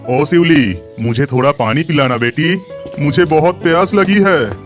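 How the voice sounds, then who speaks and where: Man as kind storybook uncle, fairly high voice, gentle, familiar, soft music bed. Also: a little slow, natural voice. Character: kindly uncle speaking to his small niece. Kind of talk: children's story acting.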